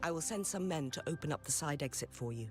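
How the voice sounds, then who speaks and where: A woman's voice: low voice, expressive, fast. An actress voicing a dignified noble lady of authority in a video game, formal and composed. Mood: composed, commanding. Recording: music behind the voice.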